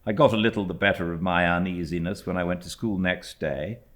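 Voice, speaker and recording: A man with a British accent reading a classic novel as an audiobook narrator, in a measured, expressive storytelling manner. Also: deep voice. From Britain. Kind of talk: literary scripted narration.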